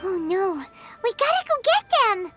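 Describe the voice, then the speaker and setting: A woman voicing a small, excitable childlike fairy companion in a video game, squeaky, eager and naive. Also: measured pace, full character voice. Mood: excited. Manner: exclamatory, eager. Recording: loud music behind the voice. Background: game music.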